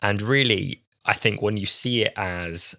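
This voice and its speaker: Low-voiced congested man. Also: unhurried, bright, forceful tone, dry recording, clean studio sound. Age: 20s. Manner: chatty, laughs often, many fillers. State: stuffy nose from a cold. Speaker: podcast co-host. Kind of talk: casual chat.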